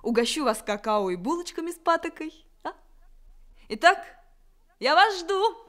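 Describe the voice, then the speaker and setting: An actress playing a warm, cheerful carnival clown and mother of three in an old Soviet radio play, hearty and motherly. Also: high-pitched, sing-song, moderate pace. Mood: merry.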